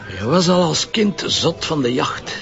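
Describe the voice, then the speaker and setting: An actor playing a jovial, teasing, stubborn Flemish police commissioner in colloquial, emphatic radio drama dialogue. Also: male voice, a little high, very animated, measured pace, gravelly, heavily acted. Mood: pondering, serious. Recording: dull lo-fi sound with music behind.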